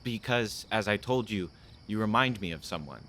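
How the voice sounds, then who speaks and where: A man's voice: on the low side, animated, normal speed, a bit bright, a little hiss or hum. A man acting an earnest, lonely policeman in a radio play. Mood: confiding, wistful. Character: earnest lonely policeman, grieving his dead fiancée.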